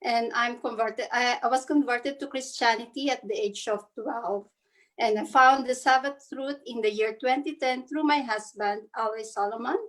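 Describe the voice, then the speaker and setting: A woman's voice: a little high, low-quality recording. Earnest Filipina-born woman in her thirties. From Philippines. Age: 30s. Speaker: panelist, spa owner. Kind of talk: online panel introduction.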